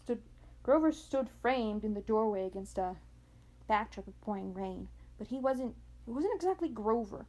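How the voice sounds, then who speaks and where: A woman reading a children's novel aloud in a halting way, often stumbling and going back over words. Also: a little high, expressive, darkish tone. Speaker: amateur audiobook reader.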